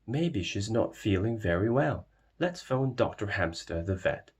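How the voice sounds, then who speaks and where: A man's voice: fairly low pitch, lively intonation, a little slow, a little mellow, husky. Storybook mummy pig, kindly and motherly, very melodic delivery, played by a male reader. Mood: concerned. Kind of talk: children's storybook read-aloud.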